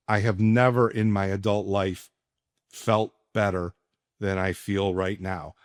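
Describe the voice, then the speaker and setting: A man's voice: fairly low pitch, no room sound, studio quality. A man in his sixties hosting a podcast, talking casually in a blunt, conversational way. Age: 60s. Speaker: podcast host. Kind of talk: casual podcast chat and personal testimonial.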